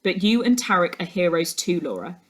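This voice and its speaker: A woman voicing an earnest detective uncle in a storybook, measured and serious. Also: lowish voice, very animated. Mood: earnest, proud. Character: earnest, proud detective uncle, grown man. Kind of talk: storybook dialogue.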